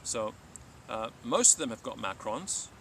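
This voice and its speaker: A man's voice: mid-range voice, lively intonation, measured pace, bright, forceful tone, far from the mic, slight background noise. A man speaking as a teacher hosting a live stream, reading aloud and talking informally.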